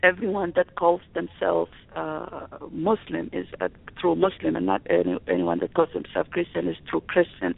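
Gravelly woman over a phone line. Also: lowish voice, animated, moderate pace, few pauses, no echo. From Sudan. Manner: reflective, frequent restarts and fillers. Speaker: podcast guest, university instructor. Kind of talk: conversational interview. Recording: tinny phone-like line, dry.

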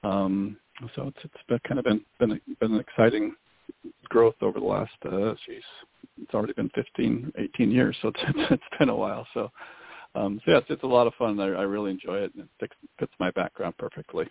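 A man from the US Pacific Northwest, a guest in a radio interview, talking in a relaxed, casual way with many fillers. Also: lowish voice, brisk, vocal fry, slightly rough, no room sound. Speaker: guest, business broker. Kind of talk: interview answers. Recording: dry phone-line audio.

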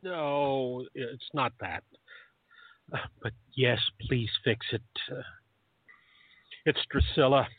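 An actor playing a grumpy, lovelorn older time agent with an earnest, grumbling way in a radio play. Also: male voice, medium pitch, takes it slowly, pauses often, slightly rough, dry recording, phone-quality sound. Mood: troubled, grumpy.

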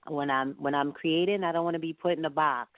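A woman with a fairly low voice speaks casually as a guest in an interview. Her manner is encouraging and emphatic, with colloquial phrasing. The sound is narrow and phone-like, close and dry with little room in it. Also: fairly even intonation, brisk, dry recording. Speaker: singer being interviewed. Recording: muffled phone-like line.

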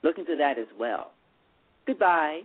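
A man's voice: fairly high pitch, animated, unhurried, breathy edge, pitched above the performer's own voice. A man voicing a snide, self-righteous religious fanatic girl who mocks and preaches with a valley-girl "like". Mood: sneering. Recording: tinny phone-line radio.

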